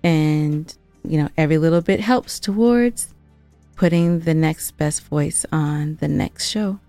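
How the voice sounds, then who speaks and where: A woman with a fairly low voice chats as a podcast co-host, recorded close and dry with music underneath. She speaks earnestly and emphatically, with some playful self-mockery. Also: unhurried, warm tone, no echo. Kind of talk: casual podcast chat with appeals to listeners.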